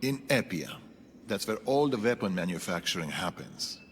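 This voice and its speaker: An actor playing a stern, calculating rebel strategist in a video game, speaking in a measured, commanding way. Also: male voice, sits low, very animated, slight background noise. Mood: stern, purposeful. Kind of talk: video game cutscene acting. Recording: long reverberant hall, music behind.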